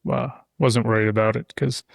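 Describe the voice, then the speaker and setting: A man with a lowish voice hosts a podcast and talks easily, wandering into asides as he explains things. He sits close to the mic, and the sound is dry and close, with no room echo. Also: steady tone, moderate pace, vocal fry. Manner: talkative, explains at length with loose asides. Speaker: podcast host. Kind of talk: casual conversation. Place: insulated shop-building studio. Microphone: close studio mic.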